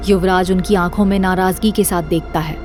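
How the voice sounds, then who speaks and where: A woman narrates a scripted audio drama. Her voice is a little low for a woman, and soft music plays behind the dramatic storytelling. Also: steady tone, a little fast.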